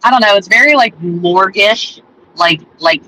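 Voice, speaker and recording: A man co-hosting a relaxed live chat, talking loosely and hedging his points. His voice is high and bright, with a faint hum of noise in the background. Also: measured pace, poor audio. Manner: casual, hedging, says "like" a lot. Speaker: co-host commenting on trial.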